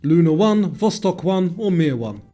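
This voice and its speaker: A man's voice: high-pitched, lively intonation, a little slow, mellow. A man reading scripted quiz questions and their multiple-choice answers as a narrator. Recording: voice-over with music underneath.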